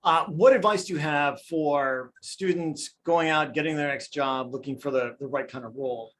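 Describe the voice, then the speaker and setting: Higher-voiced man moderating a panel. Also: normal speed, fairly bright tone. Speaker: student moderator.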